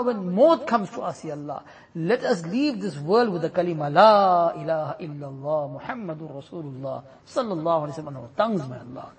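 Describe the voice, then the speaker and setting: A man leading a congregation in an impassioned supplication prayer, pleading and closing each plea with a soft trailing refrain. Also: sits high, husky.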